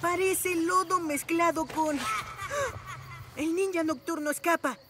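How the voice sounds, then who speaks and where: High-pitched brave little boy hero. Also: fairly even intonation, slow pace, very noisy. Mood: urgent, determined. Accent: Latin American Spanish. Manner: earnest, commanding, heroic. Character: brave, eager six-year-old boy superhero. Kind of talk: dubbed children's cartoon acting. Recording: loud music behind the voice.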